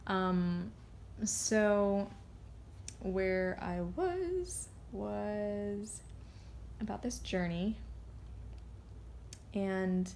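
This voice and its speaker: A woman speaks as a card reader in a loose, unscripted way. Her voice is mid-pitched with a lot of creaky fry. She halts, restarts and wanders into side tangents, with faint noise behind her. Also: darkish tone. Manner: rambling, halting, goes off on tangents. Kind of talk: casual, unscripted intuitive card reading.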